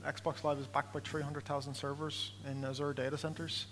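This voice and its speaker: A young man reads through rehearsed product points on a slightly high, monotone voice. Hall reverb carries his voice, and a faint hum sits underneath it. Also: brisk. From Ireland. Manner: flat, rehearsed pitch-style delivery. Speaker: software developer presenting.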